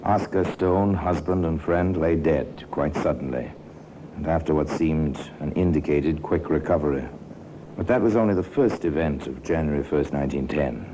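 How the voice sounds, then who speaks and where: A man narrating a 1950s radio crime drama in a grave, deliberate, ominous manner. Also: mellow. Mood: grave, measured. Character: grave male storyteller of a true-crime tale. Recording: noisy old radio recording with rumble.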